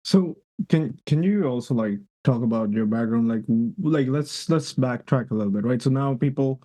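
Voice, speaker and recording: A man's voice: medium pitch, normal speed, dark tone. A man hosting a podcast interview, asking rambling questions that stop and restart. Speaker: podcast host. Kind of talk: casual interview.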